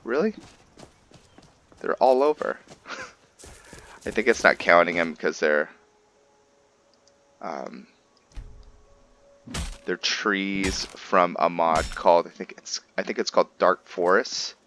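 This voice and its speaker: A man's voice: deep voice, lively intonation, takes it slowly, pauses often, a bit bright, a little hiss or hum. A man hosting a video game roleplay, giving casual, informal commentary and explaining things as he plays.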